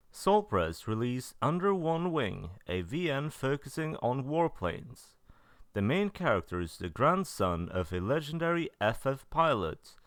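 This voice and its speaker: A man's voice: fairly low pitch, fairly bright tone, smooth, no echo, clean studio sound. A man narrating a review roundup from loose notes, in a matter-of-fact and blunt way. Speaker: video narrator reviewing visual novels. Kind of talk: semi-scripted review narration.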